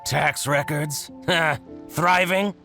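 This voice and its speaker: An actor playing a spiteful, scheming middle-aged merchant who gloats and fawns in a game cutscene. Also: male voice, fairly high pitch, fairly even intonation, slow pace, creaky. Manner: gloating, theatrical, fawning. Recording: game cutscene with background music.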